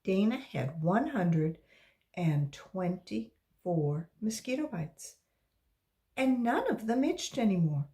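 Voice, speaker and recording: A woman narrates a children's storybook aloud. Her pitch moves in a very animated way, and she takes her time over each phrase. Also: slow. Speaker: volunteer storybook reader for children.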